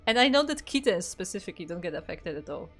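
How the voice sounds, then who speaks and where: A woman's voice: lowish voice, big pitch swings, fast, a bit bright, soft background music. A woman co-commentating an esports match in a casual, conversational and playful style. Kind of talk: casual chatty game commentary.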